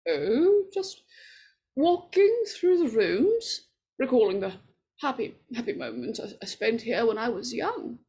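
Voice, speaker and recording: An actress playing a frightened, superstitious older woman in 1940s radio horror style, breathy and stammering. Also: a little high, very animated, mellow, full character voice. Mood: wistful, defensive. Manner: halting, stammering.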